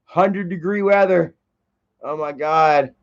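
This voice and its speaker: A man's voice: fairly high pitch, slow pace. A man in his thirties telling a rambling, profane story in casual livestream chat, full of fillers and repeats. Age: 30s. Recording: dull lo-fi stream audio.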